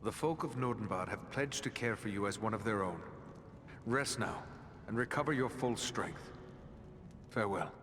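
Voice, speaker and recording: An actor playing a steadfast human ranger who leads a band in a fantasy game, speaking formally and earnestly. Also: male voice, on the low side, lively intonation, normal speed, pauses often, a bit bright, slight background noise. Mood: solemn. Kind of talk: epic fantasy game voice acting. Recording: long reverb, music behind the voice.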